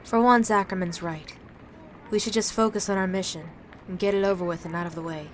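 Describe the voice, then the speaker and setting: A woman's voice: on the low side, steady tone, brisk, slight background noise, close to an everyday voice. A woman playing a curt, cold, exasperated teenage girl trainee in a superhero audio drama. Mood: annoyed.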